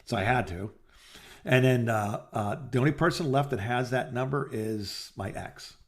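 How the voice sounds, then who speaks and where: A man's voice: medium pitch, moderate pace. Middle-aged man, rambling casual storyteller. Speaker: podcast co-host. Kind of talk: casual phone chat, personal anecdotes.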